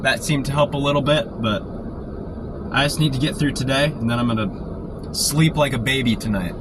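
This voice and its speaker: Hoarse man talking quietly in a car. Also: normal speed. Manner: keeps voice quiet and low. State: sore throat from a cold. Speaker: storm chaser live-streaming. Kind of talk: casual live stream chat. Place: inside a parked car. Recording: noisy car interior. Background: car and outdoor traffic noise.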